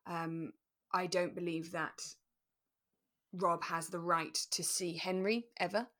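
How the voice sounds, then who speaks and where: A woman with a slightly low voice co-hosts a relaxed discussion show. She explains things step by step in a measured, careful manner, as in an informal review. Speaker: podcast co-host. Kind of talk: relaxed discussion of a radio drama.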